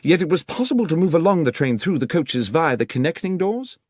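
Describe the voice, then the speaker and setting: Guy doing a commanding gentleman detective, audiobook, precise questions, voice lifts and swoops a lot. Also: fairly high pitch, very animated, a little fast, no echo, clean studio sound, pitched above the performer's own voice. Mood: probing. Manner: precise, probing, formal questioning.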